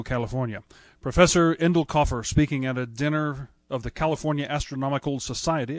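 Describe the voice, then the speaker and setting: An actor playing a composed but slightly uncertain studio announcer in a 1930s radio drama, formal and measured. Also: male voice, fairly high pitch, no room sound, lightly acted.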